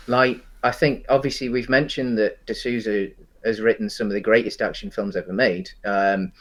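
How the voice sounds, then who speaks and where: A man co-hosting a casual film discussion, speaking in a hesitant, halting way and qualifying his opinions as he goes. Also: lowish voice, fairly even intonation, fairly bright tone. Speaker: co-host of a video game film discussion show.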